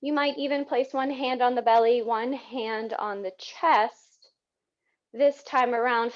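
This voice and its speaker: A woman teaching yoga, guiding breathing in a soothing, gentle manner with unhurried phrasing. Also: on the high side. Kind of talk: guided yoga breathing instruction. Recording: dull, muffled lo-fi sound.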